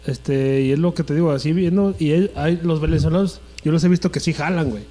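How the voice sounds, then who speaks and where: A young Mexican man talks loosely and full of slang, tagging his sentences with filler. His voice is a bit high yet mellow and dark. A low mains hum runs under the recording. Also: brisk. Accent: Mexican Spanish. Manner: slangy, says "güey" constantly. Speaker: podcast co-host. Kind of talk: casual chat.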